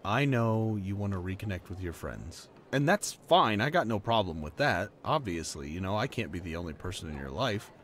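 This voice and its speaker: Guy playing an easygoing young ex-bully boyfriend in an audio roleplay, pretty deep, some background noise. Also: big pitch swings, moderate pace, hardly any pauses, a bit bright. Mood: uneasy, subdued. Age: young adult. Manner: informal, earnest, teasing. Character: laid-back, playful working-class boyfriend, a reformed bully. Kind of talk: roleplay audio drama acting, conversational.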